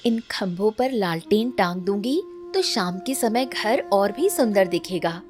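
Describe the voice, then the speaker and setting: महिला की निभाई चंचल सुर वाली स्नेही ग्रामीण स्त्री. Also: female voice, medium pitch, animated, a little slow, darkish tone, faint music behind, close to an everyday voice. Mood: affectionate. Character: gentle, affectionate women of a village family. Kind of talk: Hindi cartoon story acting.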